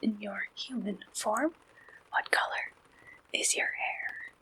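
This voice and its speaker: A woman softly performing an ASMR roleplay as a receptionist, gentle, reassuring and unhurried, in a breathy whisper close to the microphone. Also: medium pitch, low-quality recording.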